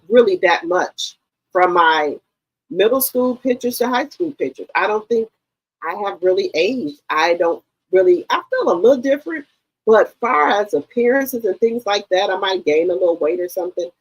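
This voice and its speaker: A woman's voice: mid-range voice, expressive, moderate pace, husky, low-quality recording. A woman in her fifties hosting a gossip livestream, chatting straight to her viewers. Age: 50s. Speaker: livestream host.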